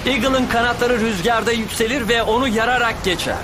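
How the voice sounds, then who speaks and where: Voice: medium pitch, fairly quick, close to an everyday voice. An actor playing a cool, confident teenage boy in a cartoon dub, proud, taunting and dramatic. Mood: fierce, triumphant. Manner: dramatic, self-assured, declaiming. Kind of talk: Turkish cartoon dub acting.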